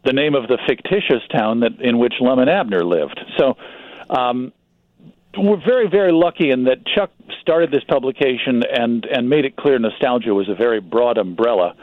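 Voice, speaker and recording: A man's voice: medium pitch, lively intonation, normal speed, no room sound, phone-quality sound. American man telling anecdotes in a dry studio. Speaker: magazine publisher and writer, radio guest. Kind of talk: anecdotal radio interview talk.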